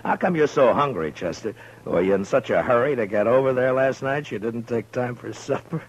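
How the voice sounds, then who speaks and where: An American man playing a seasoned, wry, steady frontier marshal in a 1950s radio western, speaking in a laconic, dry way. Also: medium pitch, a little fast, husky, highs cut off, lightly acted. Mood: teasing. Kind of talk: 1950s radio western acting and first-person narration. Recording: vintage radio drama recording.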